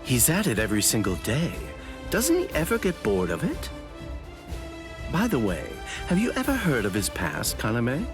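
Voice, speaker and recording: Playful sly man, mock-innocent drawl, theatrical curiosity, very sing-song range, anime game dialogue, clear background music. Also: mid-range voice, huge pitch swings, moderate pace. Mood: teasing curiosity. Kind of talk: anime video game voice acting. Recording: music behind.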